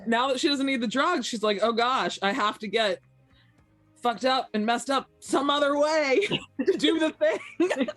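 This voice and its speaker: A woman's voice: high voice, a little slow, fairly bright tone, dry recording, faint music behind. An American woman talking casually and candidly, with some swearing, in a debrief after a tabletop roleplaying game.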